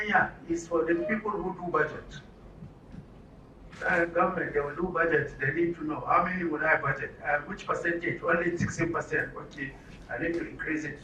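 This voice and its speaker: A man's voice: high-pitched, pushed and bright. A man with a Nigerian accent leading a training session as an interactive lecture, asking rhetorical questions and repeating answers back. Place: indoor training room with audience. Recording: echoey room, distant mic, faint noise.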